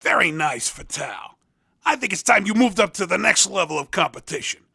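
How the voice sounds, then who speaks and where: Raspy, slangy hustler. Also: male voice, high voice, animated, moderate pace, bright, forceful tone, exaggerated voice. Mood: cocky, encouraging. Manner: slangy, coaxing salesman patter. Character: Skid, a hustling male street racing recruiter, cocky and slangy. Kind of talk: video game character dialogue.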